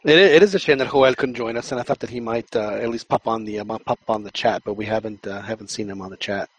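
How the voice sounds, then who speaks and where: A man's voice: fairly low pitch, quick pace, husky. A man co-hosting a football podcast, joking in casual chat and often repeating words as he talks. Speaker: podcast co-host.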